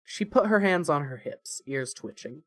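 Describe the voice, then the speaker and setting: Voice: mid-range voice, lively intonation, normal speed, dry recording. A narrator reading scripted fiction in an audio story.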